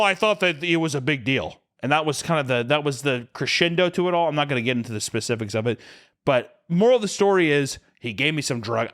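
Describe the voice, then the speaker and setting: Animated, swearing young man telling stories. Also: medium pitch. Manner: animated, profane, self-mocking storytelling. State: drunk. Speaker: podcast co-host. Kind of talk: comedic storytelling podcast.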